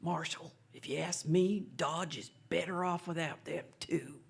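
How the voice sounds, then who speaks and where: A woman's voice: low voice, fairly even intonation, unhurried, vocal fry, breathy edge, full character voice. A woman playing a chatty, folksy Old West townsperson in a western radio drama, with colloquial, drawling, gossipy talk. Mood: coy, evasive.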